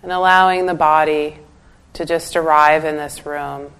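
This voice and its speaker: A woman leading a guided meditation as a teacher, giving calm, gentle instructions with pauses. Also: low-pitched, smooth, rough recording.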